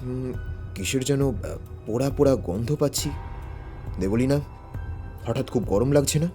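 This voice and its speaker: An actor playing a brave, grave older detective uncle in an audio story, speaking with protective authority. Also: male voice, medium pitch, moderate pace, mellow, soft background music, lightly acted. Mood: weary, wary. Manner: urgent, authoritative, reassuring. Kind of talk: Bengali audio story acting.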